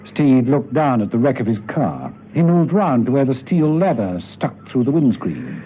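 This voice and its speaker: A man's voice: medium pitch, very animated, moderate pace, a little hiss or hum. A man narrating a spy radio serial in a dramatic, scripted storytelling style. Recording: muffled vintage radio recording, music behind. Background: music.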